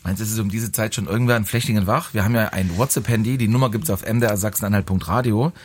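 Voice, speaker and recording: A man's voice: lowish voice, steady tone, racing, a little hiss or hum. A man in his forties presenting a live radio morning show in a chatty, playful, teasing way. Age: 40s. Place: radio studio. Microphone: close studio microphone.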